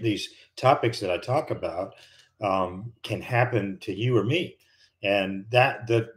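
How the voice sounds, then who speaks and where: Older American man, low easygoing voice. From United States. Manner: rambling, conversational, many fillers and restarts. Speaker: livestream host, retired pilot. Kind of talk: casual live-stream chat.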